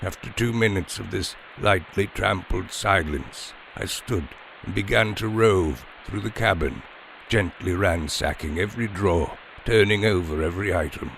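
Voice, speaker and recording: A man narrating scripted first-person literary fiction in a measured, deliberate, formal way. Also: deep voice, creaky, fairly bright tone, slight background noise. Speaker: storyteller narrator of an audio fiction series.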